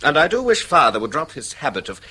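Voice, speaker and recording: A man's voice: fairly high pitch, sing-song, fast, sharp tone, husky, full character voice. A man playing an anxious, hesitant, apologetic husband eager to please in a radio drama. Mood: defensive. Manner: hesitant, repeats and breaks off sentences. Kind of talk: British stage-style radio drama acting.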